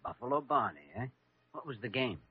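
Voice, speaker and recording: A husky, easygoing young doctor. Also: male voice, mid-range voice, sing-song, brisk, narrow, tinny audio. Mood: curious, amused. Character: amiable, mildly amused young doctor. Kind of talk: 1940s radio drama acting. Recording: thin, band-limited old radio recording.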